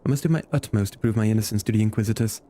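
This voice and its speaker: A man's voice: on the low side, very fast, warm tone, lightly acted. A man reading as an earnest young nobleman. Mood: earnest formal.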